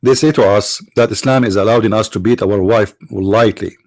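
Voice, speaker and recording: A man whose first language is Arabic, from the Middle East, arguing in a sarcastic, mocking tone and slowing down to stress quoted phrases. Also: fairly low pitch, darkish tone, dry recording, clean studio sound. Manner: sarcastic, mocking rhetorical questions. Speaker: apologist speaker. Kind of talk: argumentative lecture.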